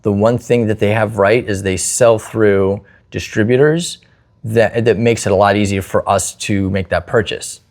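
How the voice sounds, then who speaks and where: Male host, low voice, casual explanatory talk, opinionated, works through examples. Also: moderate pace, darkish tone. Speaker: host, security installer.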